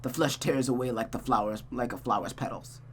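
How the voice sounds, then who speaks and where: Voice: mid-range voice, fairly quick, slight background noise. A streamer reading a visual novel aloud expressively, with dramatic narration and casual asides. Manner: expressive, often restarts misread sentences.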